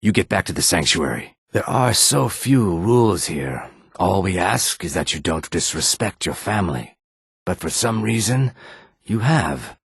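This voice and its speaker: Reptilian outlaw, video game character, low rasping voice, deliberate phrasing, close dry sound. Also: male voice, very animated, a bit bright, no echo, exaggerated voice. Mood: calm, dry. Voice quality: husky, rasping.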